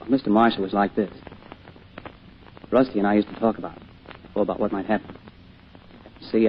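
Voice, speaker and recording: An American actor playing a young orphaned sailor, earnest, shy and polite, speaking hesitantly in 1940s radio drama style. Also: male voice, on the low side, lightly acted. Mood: polite, bashful. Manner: hesitant, humble, folksy. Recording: tinny, noisy old radio broadcast.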